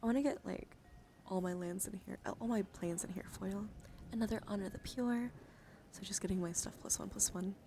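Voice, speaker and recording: A woman hosting an ASMR livestream, speaking softly and casually at a close microphone in an unhurried show-and-tell style with murmured, whispery asides. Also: medium pitch, noisy background. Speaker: livestream host. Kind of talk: soft-spoken ASMR card show-and-tell.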